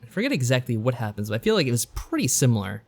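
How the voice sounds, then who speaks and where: A man's voice: medium pitch, sing-song, rapid-fire, darkish tone. A man co-hosting a podcast, talking casually and unscripted in an easygoing, agreeable way, as if thinking aloud. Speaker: podcast co-host. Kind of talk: casual unscripted podcast chat about games.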